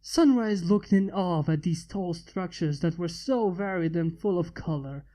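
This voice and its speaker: A man's voice: high voice, measured pace, mellow. A man reading a fan fiction story aloud as scripted narration.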